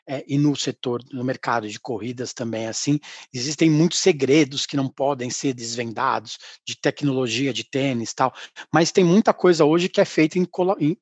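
Host, chatty leading questions, medium pitch, dry close recording. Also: moderate pace, dry recording. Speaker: podcast host. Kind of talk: casual interview questions.

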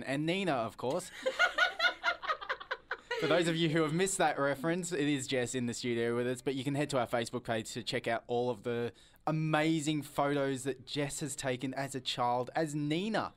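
A young man hosts a radio show in a casual, chatty way. His voice sits at a middle pitch, and he teases his co-hosts playfully. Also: moderate pace, slight background noise. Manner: playful, teasing.

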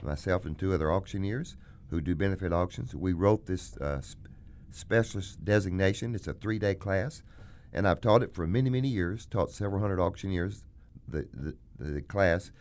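A man hosting a radio show about auctions, a bit hoarse today, talking in a folksy, salesy way. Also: deep voice, moderate pace, darkish tone. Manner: folksy, plugs his business. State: hoarse, frog in throat. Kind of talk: casual radio chat.

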